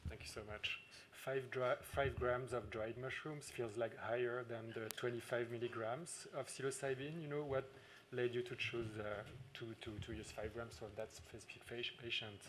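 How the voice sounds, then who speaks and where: Hesitant male moderator, mid pitch. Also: fairly even intonation, moderate pace, fairly bright tone, a little hiss or hum, low-quality recording. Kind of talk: moderating a conference Q&A.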